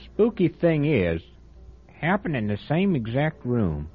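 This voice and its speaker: An actor playing a folksy small-town man in an old-time radio drama, husky voice. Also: mid-range voice, huge pitch swings, a little slow, faint music behind. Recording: tinny lo-fi radio broadcast.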